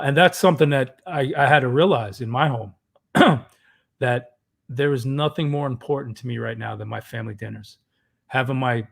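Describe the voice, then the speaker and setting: Male co-host in his 30s, earnest dad voice, mid pitch, casual advice. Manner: earnest, clears his throat, restarts words. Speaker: podcast co-host, father of three. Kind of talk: casual parenting advice chat.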